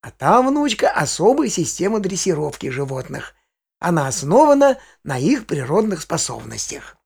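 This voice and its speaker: An older man playing a kindly, knowledgeable grandfather in a children's audio play, explaining things to a child in a husky voice. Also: on the high side, big pitch swings, normal speed, darkish tone, heavily acted. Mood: lively explaining. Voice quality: husky, raspy. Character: kindly, knowledgeable old grandfather on a nature walk.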